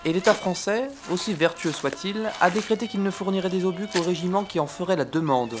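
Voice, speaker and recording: An actor playing a pompous, easily exasperated French army captain of the First World War in a comic audio drama, speaking in a theatrical, declamatory way. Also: male voice, high-pitched, fairly quick, lightly acted. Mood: self-important, scornful.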